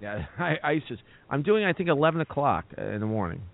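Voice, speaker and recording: A man's voice: mid-range voice, sing-song, brisk, gravelly. Teasing male host on a phone line. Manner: teasing, talks over himself with restarts. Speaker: show host. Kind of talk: casual call-in radio chat.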